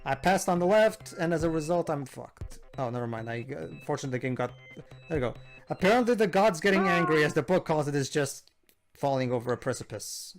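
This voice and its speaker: A man's voice: fairly high pitch, lively intonation, brisk. Chatty man explaining over game music. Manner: chatty, explains at length with many fillers and restarts. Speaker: let's play host. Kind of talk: casual gameplay commentary.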